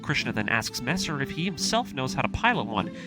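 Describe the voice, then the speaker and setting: A man's voice: mid-range voice, very animated, a little fast. A man narrating a scripted story recap with personal commentary, in an emphatic, enthusiastic fan manner. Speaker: anime video essayist and host. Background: music.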